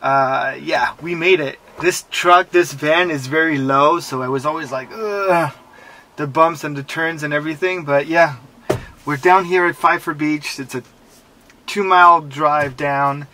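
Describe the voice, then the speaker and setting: A man's voice: on the high side, a little slow. A man in his thirties from France with a French accent, casually narrating a road-trip vlog with lots of fillers. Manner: relaxed, rambling with many fillers. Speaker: travel vlogger. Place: camper van and outdoors at a picnic table. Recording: music bed under voice. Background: music.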